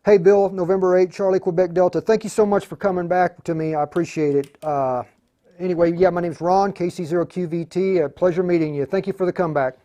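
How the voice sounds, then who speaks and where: A man with a slightly high voice is hosting a hands-on tutorial. He explains things informally, stopping and starting as he thinks aloud, like a hobbyist radio operator showing the steps. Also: steady tone, fast talker, dark tone. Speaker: livestream tutorial host, amateur radio operator. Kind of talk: casual hands-on demonstration.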